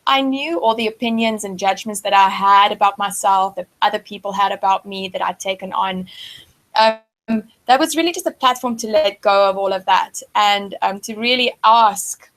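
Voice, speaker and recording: A woman's voice: mid-range voice, normal speed, fairly bright tone, low-quality recording. A woman hosting an interview channel, effusive and complimentary, piling on affirmations as she speaks. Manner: effusive and gushing, full of affirmations. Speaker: host. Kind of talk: warm spiritual interview hosting.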